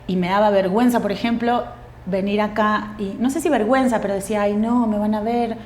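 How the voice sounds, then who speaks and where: A woman in her forties with a Uruguayan Spanish accent, a guest actress speaking thoughtfully in an interview, pausing often with fillers and restarts. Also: medium pitch, fairly even intonation, a little mellow, echoey room, a little hiss or hum. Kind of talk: reflective interview conversation.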